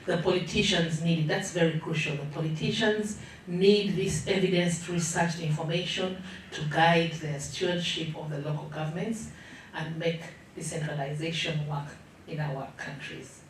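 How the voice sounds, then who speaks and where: A middle-aged woman with a Ugandan accent, an association official speaking earnestly and in a measured way in an interview, with frequent ums. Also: sits low, steady tone, slight background noise. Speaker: local government association official. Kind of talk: testimonial interview. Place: large room. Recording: very echoey room, mic set far off.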